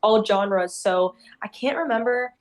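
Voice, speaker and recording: A woman's voice: mid-range voice, measured pace. A young woman, a guest on a radio show, chatting casually and filling her talk with "like". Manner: chatty, many "like" fillers. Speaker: DJ duo member, guest. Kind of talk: casual interview chat.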